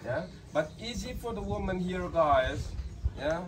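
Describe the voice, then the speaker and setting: A man's voice: high voice, lively intonation, a little slow. Chatty Indonesian-accented man guiding tourists. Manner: casual, chatty, apologetic, joking. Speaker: local tour guide. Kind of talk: guiding tourists, explaining a craft. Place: outdoors in a pottery village. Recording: distant outdoor camera sound, rooster noise. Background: roosters and village ambience.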